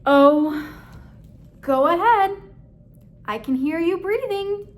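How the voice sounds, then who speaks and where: Woman putting on a high, pompous storybook narrator. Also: expressive, takes it slowly, exaggerated voice. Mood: huffy. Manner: pompous, theatrical. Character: meddling, self-important storybook narrator, fussy and excitable. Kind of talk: children's picture book read-aloud.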